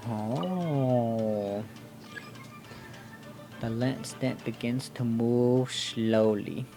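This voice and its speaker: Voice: medium pitch, extremely slow, warm tone. A game streamer giving casual gameplay commentary in a bemused, reactive mutter. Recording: faint game music behind.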